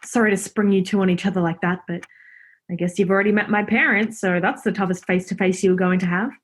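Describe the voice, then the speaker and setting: A woman's voice: medium pitch, steady tone, quick pace, lightly acted. An actress in a radio play playing a teasing, blunt young woman, a devoted girlfriend and sister, in a naturalistic style. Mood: reassuring.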